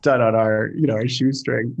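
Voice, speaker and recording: Male sound designer in casual conversation, slightly rough mid-pitched voice, halting and reflective. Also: sing-song, highs cut off. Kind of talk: casual explanatory talk.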